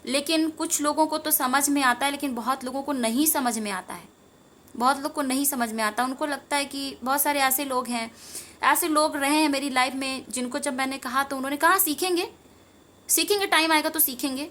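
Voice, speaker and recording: A woman's voice: sits high, a little fast, a bit bright, slight background noise. A woman giving an informal spiritual talk to viewers, earnest and rambling, repeating phrases for emphasis. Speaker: tarot reader and meditation teacher.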